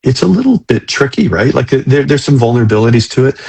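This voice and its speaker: A middle-aged or older man hosting a casual live interview, speaking haltingly and repeating words as he thinks aloud. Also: mid-range voice, expressive, vocal fry, darkish tone, breathy edge. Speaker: interview host.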